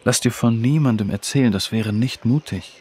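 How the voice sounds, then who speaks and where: A man playing a kind, protective young friend, speaking gently and sincerely in a children's audio drama. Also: medium pitch, normal speed, slightly rough, lightly acted. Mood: reassuring.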